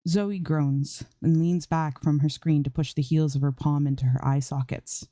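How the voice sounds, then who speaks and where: A woman's voice: sits low, fairly even intonation, moderate pace, warm tone, no echo, studio quality. A woman narrating a scripted piece of fan fiction as an amateur story reader.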